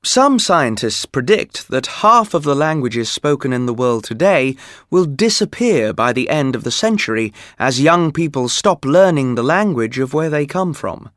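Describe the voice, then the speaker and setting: A man reading scripted educational narration for a textbook, steady and explanatory. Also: medium pitch, moderate pace, dry recording, studio quality. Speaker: textbook audio reader.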